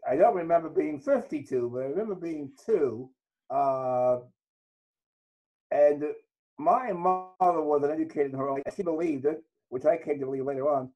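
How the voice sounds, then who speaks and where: A man's voice: fairly high pitch, warm tone, low-quality recording. An older man from Trinidad, a guest author, telling his life story in a reflective interview. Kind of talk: interview conversation.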